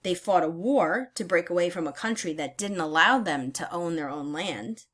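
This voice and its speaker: A woman teacher reading aloud in a deliberate, instructional way, pausing to explain her thinking as she goes. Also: lowish voice, fairly bright tone. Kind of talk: read-aloud lesson with think-aloud comments.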